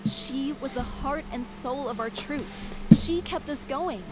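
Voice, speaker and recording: A wistful troupe actress. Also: female voice, high-pitched, measured pace, over music, low-quality recording. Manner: soft, wistful. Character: wistful female troupe actress mourning her mentor. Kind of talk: game voice acting. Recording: game audio with noise.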